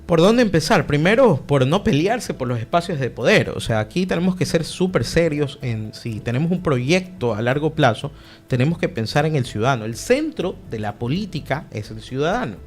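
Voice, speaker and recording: A man's voice: fairly high pitch, very animated, normal speed, faint music behind. A young man, answering a radio interview in an emphatic, speech-like way. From Ecuador. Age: young adult. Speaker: political guest, party director.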